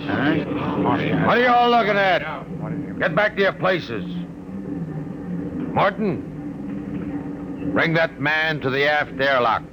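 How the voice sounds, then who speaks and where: An actor playing a stern, commanding spaceship officer in an old radio drama. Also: male voice, on the high side, expressive, slow, long pauses. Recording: very noisy vintage radio broadcast.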